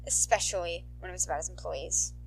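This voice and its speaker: A woman, an amateur narrator, reading fan fiction aloud in an expressive, informal style. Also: lowish voice, fast, vocal fry, slight background noise, poor audio. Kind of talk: animated fan fiction narration, casual asides.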